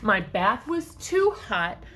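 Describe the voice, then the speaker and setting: Grumpy young storybook boy, played by a woman, whiny, emphatic, animated pitch, faint noise behind. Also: takes it slowly. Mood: disgusted. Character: grumpy, put-upon young boy complaining about his day.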